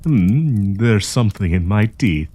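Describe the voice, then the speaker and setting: A man's voice: lowish voice, animated, warm tone. A man playing a kindly old professor with a hidden possessive menace, courtly and teasing in an intimate audio roleplay. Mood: genial, businesslike. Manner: courtly, teasing, chuckling, deliberate.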